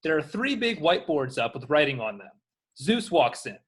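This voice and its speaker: A man reads screen directions from a script. His narrating voice is a little higher than most men's. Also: big pitch swings, moderate pace, slightly rough.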